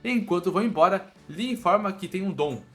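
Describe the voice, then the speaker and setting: A man's voice: sits high, expressive, a little fast. A man with a Brazilian accent narrating a scripted comic story recap in a playful, colloquial storytelling manner. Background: background music.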